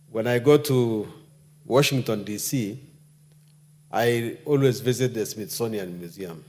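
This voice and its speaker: A man speaking as a member of parliament in a measured way, with fillers and pauses. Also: mid-range voice, a little mellow. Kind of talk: parliamentary debate speech. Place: parliamentary chamber.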